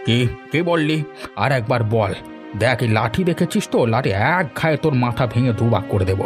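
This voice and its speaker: Cartoon villain for male actor: village boss, mid-pitched, commanding, scolding, menacing, light music underneath. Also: lively intonation, normal speed, close to an everyday voice. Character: domineering, scheming male village headman, menacing. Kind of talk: cartoon dialogue.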